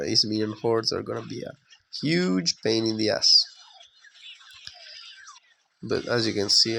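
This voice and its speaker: A young man with a hoarse voice from being sick, giving casual, relaxed commentary over his own gameplay with lots of fillers. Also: mid-range voice, a little hiss or hum. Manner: relaxed, conversational with frequent fillers.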